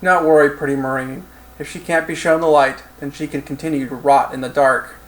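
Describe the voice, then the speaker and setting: A man's voice: a little high, steady tone, fairly quick, a bit bright, lightly acted, rough recording. Man voicing a teasing Russian female general. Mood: cold, confident. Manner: teasing, sensual.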